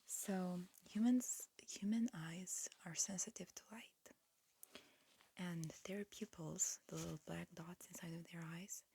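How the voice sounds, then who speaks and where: Slow, whispering ASMR woman. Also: fairly low pitch, fairly even intonation, gravelly, slight background noise. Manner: soft, hushed whispering with slow, careful explanations. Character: calm, attentive alien examiner disguised as a human. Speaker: ASMR roleplay performer playing an alien examiner. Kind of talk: whispered ASMR medical exam roleplay. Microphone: close ASMR microphone.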